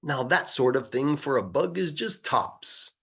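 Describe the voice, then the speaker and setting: Man reading rhymes, lively husky voice. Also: mid-range voice, unhurried. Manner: playful, emphatic delivery of verse. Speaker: English teacher reading aloud. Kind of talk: expressive read-aloud of a rhyming children's book.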